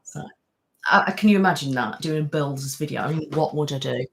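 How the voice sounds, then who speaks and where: A woman's voice: deep voice, brisk, vocal fry. A woman hosting a casual live-stream chat, rambling, laughing often and thanking viewers by name. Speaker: ASMR channel host.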